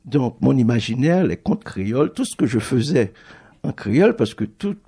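Creole-native male publisher, husky, mellow timbre, halting and thoughtful. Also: mid-range voice, very animated. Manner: thoughtful, halting, restarts phrases often. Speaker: book publisher. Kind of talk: reflective public talk.

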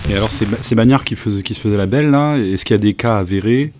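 A man's voice: on the low side, fast, noisy background. A man hosting a radio talk, introducing songs in a casual, hesitant way with many fillers. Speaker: radio host presenting songs.

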